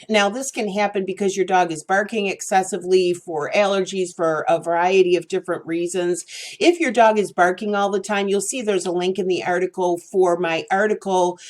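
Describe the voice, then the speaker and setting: A woman hosting a pet care channel, giving casual advice in a rambling, chatty way with many fillers. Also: medium pitch.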